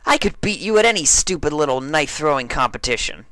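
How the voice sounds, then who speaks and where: A man's voice: a little high, animated, fast talker, sharp tone, dry recording, pitched above the performer's own voice. A man voicing a brash, cocky and defensive young female flying pony in a story reading.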